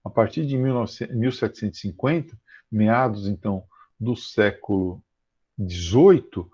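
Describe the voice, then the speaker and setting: A husky-voiced Brazilian man gives a history lecture on a video call. He talks with plenty of fillers and slows down to repeat key terms. The audio is muffled and slightly dull. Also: on the low side, darkish tone. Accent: Brazilian Portuguese. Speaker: history teacher. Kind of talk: online class lecture. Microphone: computer mic on a video call.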